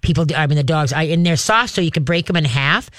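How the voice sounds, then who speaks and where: A woman's voice: low voice, fast talker, a bit bright, dry recording, studio quality. A woman hosting a call-in radio show about dog training, chatty and upbeat, slipping into cheerful sing-song voices. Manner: chatty, upbeat, acts out cheerful baby-talk to dogs.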